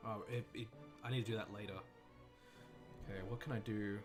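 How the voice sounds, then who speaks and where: Laid-back Australian-American gamer. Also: male voice, fairly low pitch, flat delivery, brisk. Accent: mixed Australian and American. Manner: chatty, talks to himself while playing. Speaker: streamer. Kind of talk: casual gameplay commentary. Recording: game music in background.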